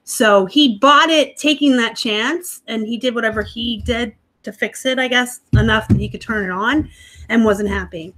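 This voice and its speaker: A woman's voice: a little high, normal speed, a bit bright. A woman hosting a casual live stream from home, chatting with viewers, a bit snarky and laughing often. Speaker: livestream host, online reseller. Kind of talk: casual live-stream chat reading comments. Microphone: laptop live stream.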